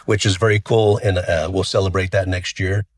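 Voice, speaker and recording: A middle-aged man with a cold that deepens his voice, chatting as the guest of an interview. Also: moderate pace. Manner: relaxed and chatty, frequent restarts. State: has a cold, voice deeper than usual. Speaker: funeral home CEO, guest. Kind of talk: casual interview talk.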